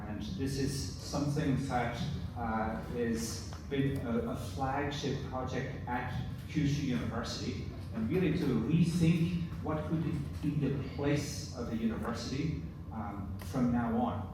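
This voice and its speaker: A middle-aged man from Belgium whose first language is Dutch, giving a relaxed conference presentation with many fillers. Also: medium pitch, a little slow, hardly any pauses. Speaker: university professor. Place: large conference hall. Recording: echoey hall from far off, noisy.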